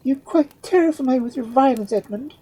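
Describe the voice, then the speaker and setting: A woman voicing a composed young noblewoman who speaks calmly and mildly scolds, in a storybook reading. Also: medium pitch, moderate pace, husky, pitched above the performer's own voice. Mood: cool.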